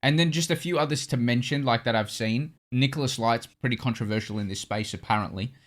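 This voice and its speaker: A man's voice: mid-range voice, brisk. A man in his thirties, co-host of a reaction channel, chatting in an easygoing, rambling way. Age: 30s. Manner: easygoing, chatty, with restarts and laughs. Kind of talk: casual chat.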